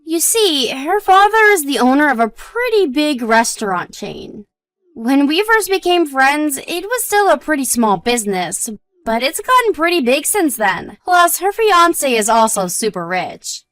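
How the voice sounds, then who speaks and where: Woman acting a high-voiced, lively young wife. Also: unhurried, no echo, full character voice. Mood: chatty, even-tempered. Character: supportive, modest young wife chatting with her husband. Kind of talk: scripted dialogue for an online story drama.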